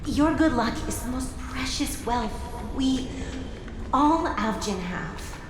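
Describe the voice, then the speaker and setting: A woman voicing a gentle, earnest figure from a remembered past in a video game. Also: high voice, animated, slow pace, background noise. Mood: solemn, earnest. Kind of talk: scripted video game dialogue. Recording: very echoey, clear background ambience.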